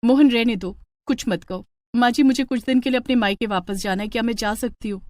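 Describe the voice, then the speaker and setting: A woman's voice: on the high side, fairly quick, darkish tone, dry recording, lightly acted. A woman voicing a young daughter-in-law in a story, polite, plaintive and bewildered. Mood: determined.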